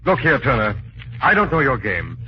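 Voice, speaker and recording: An actor playing a resolute, suave amateur detective in a 1940s radio drama, with a clipped, earnest, dramatic delivery. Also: male voice, medium pitch, sing-song, normal speed, natural voice. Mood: firm, urgent. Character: resolute, suave amateur detective, grown man, grave and urgent. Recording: muffled, hissy 1940s radio recording.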